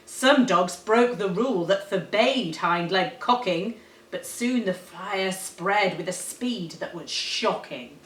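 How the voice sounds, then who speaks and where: Female storyteller for rhyming children's tale, expressive and deliberate, recorded at a distance in a roomy space. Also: mid-range voice. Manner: animated, dramatic, deliberate storytelling. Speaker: teacher reading a storybook. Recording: roomy, echoey, distant microphone.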